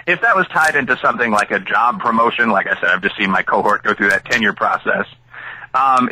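A man's voice: medium pitch, bright, forceful tone, a little hiss or hum, low-quality recording. A man from the Chicago area, an author and academic, answering interview questions expansively and thinking aloud with frequent asides. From Chicago area, USA.

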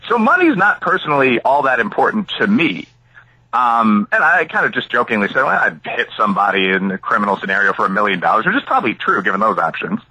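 A man from the Chicago area answers interview questions in a strikingly bright, slightly husky voice. His pitch rises and falls a lot as he works through ideas aloud with many asides. The sound is close and dry. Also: sing-song, fast talker, dry recording, rough recording. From Chicago area, USA. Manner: expansive, thinks aloud with frequent asides. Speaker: author and academic guest.

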